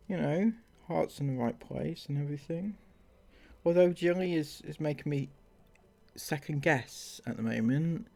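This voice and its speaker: Slow-talking sarcastic man. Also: medium pitch, creaky, a little mellow. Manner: sarcastic, laughs often, drawn-out delivery. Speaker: commentary channel host. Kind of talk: reading out and mocking viewer comments.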